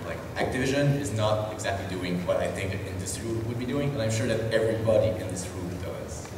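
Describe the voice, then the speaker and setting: A man's voice: fairly low pitch, brisk, noisy background. French-accented man in an echoey hall. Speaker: indie studio founder. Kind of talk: telling his story from the audience at a panel. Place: large convention hall. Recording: echoey convention hall from far off.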